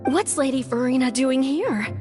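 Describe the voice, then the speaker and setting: A woman's voice: sits high, expressive, normal speed. A woman playing an earnest, eager and polite young member of a theatre troupe in an anime game. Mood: surprised, admiring. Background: background music.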